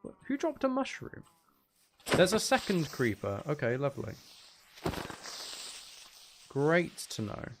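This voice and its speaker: Guy streaming a game, kinda high voice that jumps all over, wry and exasperated, some noise behind him. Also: wildly swooping pitch, unhurried, pauses often. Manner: exasperated, wry asides, talking to himself. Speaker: streamer playing a video game. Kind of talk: casual live gaming commentary.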